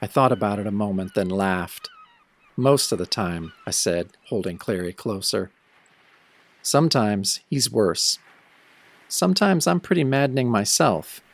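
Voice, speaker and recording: A man's voice: lowish voice, animated, unhurried, creaky, exaggerated voice. An actor playing a world-weary young man cursed as a werewolf, narrating his own story in old radio horror style, brooding and sardonic. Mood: wry. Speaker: first-person narrator of an audio drama.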